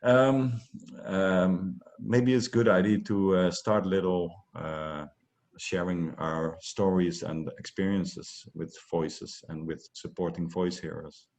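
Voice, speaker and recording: A man hosting an interview, speaking gently and hesitantly with many fillers; Dutch is his first language. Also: low-pitched, steady tone, slow. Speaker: interviewer, host. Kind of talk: interview host.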